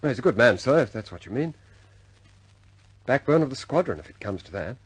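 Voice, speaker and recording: An actor playing a loyal, deferential air force officer in a radio war drama, speaking with clipped understatement. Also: male voice, medium pitch, big pitch swings, brisk, slightly rough, dry recording, low-quality recording. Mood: earnest. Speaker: squadron pilot reporting to his superior.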